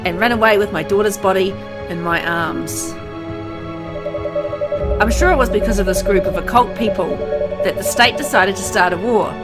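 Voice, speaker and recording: A woman's voice: medium pitch, natural voice. A woman voicing a grieving, vengeful father haunted by guilt, reading a visual novel aloud in a dramatic, brooding manner. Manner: dramatic, brooding, deliberate phrasing. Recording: music under the voice.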